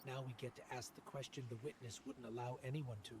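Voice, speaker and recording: An actor voicing a small, earnest robot companion in a game cutscene, speaking gently and reflectively. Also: male voice, mid-range voice, steady tone, fast, noisy background, lightly acted.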